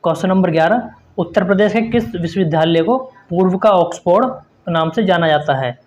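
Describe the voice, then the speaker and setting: A man's voice: high voice, fairly quick, a little mellow, dull audio. A man teaching an exam-prep quiz lesson, reading out questions and explaining answers in an instructive way with repeated emphasis.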